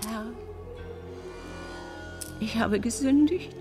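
A woman's voice: a little high, extremely slow, music in the background. An actress playing a pious, guilt-ridden old grandmother in a radio drama, praying in a fervent, melodramatic lament. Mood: penitent.

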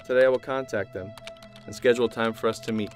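A male actor plays a secretive man of science in an audio drama. He speaks formally and earnestly at a middling pitch, with grave pride in his creation. Light music runs behind him. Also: steady tone, fast, lightly acted. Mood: solemn.

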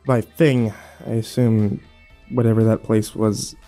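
A man's voice: lowish voice, steady tone, measured pace, dark tone, faint music behind. A man playing a weary, sarcastic young survivor who narrates and talks in an informal first-person audio drama. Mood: tense, sarcastic. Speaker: actor and narrator.

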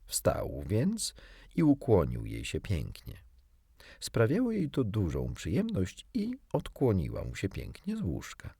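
A man narrating a children's story as an audiobook reader, in an expressive, theatrical way. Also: lowish voice, a little slow, creaky, slightly rough, no room sound.

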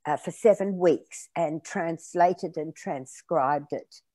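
A woman's voice: low-pitched, slow, dry recording. A woman around seventy, French as her first language, telling her family's story as a guest on a video call interview from her home. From Australia. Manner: animated, gestures while telling stories. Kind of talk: conversational interview. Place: home room, indoors.

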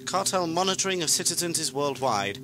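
An actor playing a cold, officious regime interrogator in an audio drama. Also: male voice, fairly high pitch, expressive, a little fast, noisy background, close to an everyday voice. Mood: cold, puzzled.

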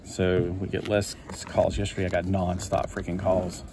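A man's voice: low-pitched, completely flat delivery, normal speed, background noise. A man casually chatting to himself as he flips through a collector magazine, rambling and easily delighted. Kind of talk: casual chat over a magazine flip-through. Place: indoors at a table.

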